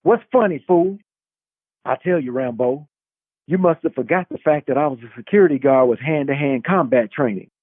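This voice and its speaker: A man's voice: mid-range voice, animated, moderate pace, dry recording, phone-quality sound, lightly acted. A man voicing a cocky, streetwise security guard in a dramatic novel reading, sarcastic and slangy, on a band-limited recording. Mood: mocking.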